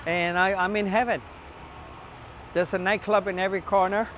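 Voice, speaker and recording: A man in his sixties from Puerto Rico, telling his life story in an interview, heartfelt and halting, often repeating words. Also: high voice, level tone, highs cut off, slight background noise.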